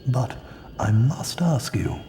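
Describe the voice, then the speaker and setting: A man playing a wise old headmaster wizard in a video game, calm, gentle and measured. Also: medium pitch. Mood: calm, gravely kind. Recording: echoing hall, faint noise.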